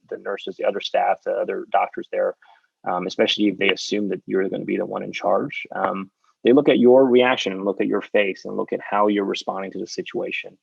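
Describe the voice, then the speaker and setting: A man's voice: fairly low pitch, creaky, breathy edge, dry recording. A talkative man, a surgeon, giving rambling advice to trainees on a panel, with many fillers and restarts. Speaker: surgeon mentoring residents. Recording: dull lo-fi dry sound.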